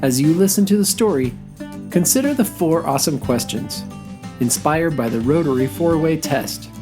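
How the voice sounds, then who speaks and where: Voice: mid-range voice, lively intonation, normal speed, over music. An announcer reading a scripted introduction to a story program for children.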